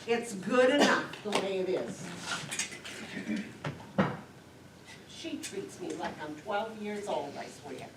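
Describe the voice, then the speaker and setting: A woman's voice: mid-range voice, expressive, a little slow, close to an everyday voice. A woman acting a chatty, nagging, self-absorbed older sister in an amateur stage play. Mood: aggrieved. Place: lakeside community theater stage, picked up from afar. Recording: distant echoey stage recording, clear noise.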